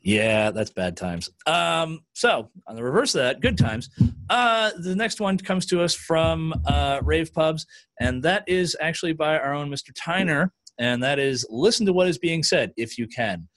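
Guy hosting a casual panel chat, animated, does little quote voices, dry close mic. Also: fairly high pitch, measured pace, no echo. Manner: animated storyteller, acting out quotes. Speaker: podcast host leading a panel discussion. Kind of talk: casual conversational talk.

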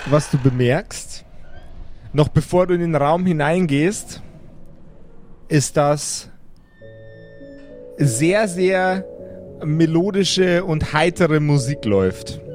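A man narrating an improvised tabletop game as game master, describing scenes in a measured, deliberate way. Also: on the high side, darkish tone. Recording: music behind the voice. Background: music.

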